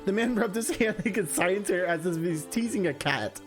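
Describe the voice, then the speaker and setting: A streamer with a mid-pitched, animated voice narrates a visual novel and slips into casual asides. The manner is informal and self-deprecating, with faint music behind. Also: normal speed.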